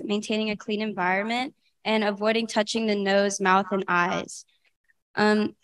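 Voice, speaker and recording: A teenage girl from California reading her section of a student presentation, halting, with frequent ums. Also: mid-range voice. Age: teens.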